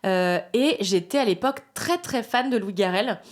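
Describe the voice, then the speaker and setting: A woman in her thirties, a guest in a casual chat, telling lively stories and acting out what people said. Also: medium pitch, normal speed. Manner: lively anecdotes with acted-out quotes.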